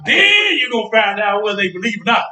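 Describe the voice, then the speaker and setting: Echoey, distant stage recording of a man playing an older American barber with a very high, bright voice. He is a folksy, boastful old boxer who teases and repeats himself for emphasis in a stage play. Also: very animated, fast talker, exaggerated voice. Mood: boastful, emphatic. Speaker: stage actor. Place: indoor theatre stage, picked up from afar.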